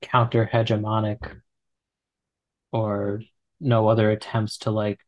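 A man's voice: lowish voice, completely flat delivery, slow, muffled recording. A man in his twenties, a guest in a casual conversation, talking with many fillers and restarts. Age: 20s. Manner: hesitant, full of fillers and restarts. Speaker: podcast guest.

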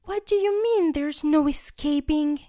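A tiny toothbrush boy, nervous and pleading, his voice jumping up high and squeaky, as a woman reads him aloud. He sounds anxious and a bit breathless, taking his time over the words. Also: a little slow, dark tone. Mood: desperate.

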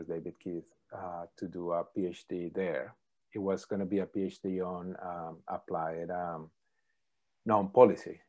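A middle-aged man, a professor answering interview questions thoughtfully, pausing often with ums. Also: sits low, warm tone. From Colombia. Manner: thoughtful, hesitant with many ums. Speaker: professor, podcast guest.

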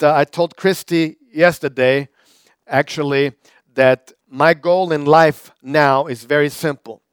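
Male pastor, crisp dry voice. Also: a little high, no room sound. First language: German. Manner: conversational preaching with repetitions and fillers. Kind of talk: sermon.

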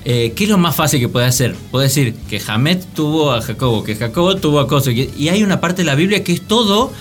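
A man's voice: on the high side, animated, a little fast, faint music behind. A man with an Argentine accent in casual, humorous conversation as a radio show panelist. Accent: Argentine Spanish. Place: radio studio with music behind.

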